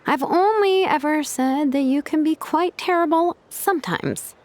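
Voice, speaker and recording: A woman voicing a lively, cheeky young woman who banters and protests playfully with her old companion. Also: sits high, unhurried. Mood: teasing. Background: game music.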